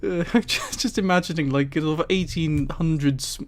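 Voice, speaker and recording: A man streams a casual read-aloud through a headset mic. His voice sits a little high for a man. He rambles into amused asides as he goes, chatting informally to his viewers. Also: normal speed. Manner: amused, giggly, rambling commentary. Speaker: streamer reading a book aloud to live chat.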